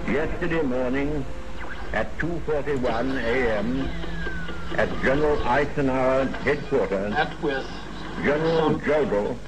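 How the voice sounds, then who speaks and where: A man making a formal wartime radio announcement in solemn, deliberate phrases. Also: mid-range voice. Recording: noisy 1940s radio recording.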